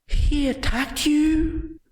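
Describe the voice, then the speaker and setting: A man's voice: extremely high pitch, fairly even intonation, a little mellow, no echo, pitched above the performer's own voice, full character voice, rough recording. A man voicing a giant, simple-minded monster servant, eager, loyal and devoted, in an audiobook story. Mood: indignant, protective. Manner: slow, exclaiming, devoted.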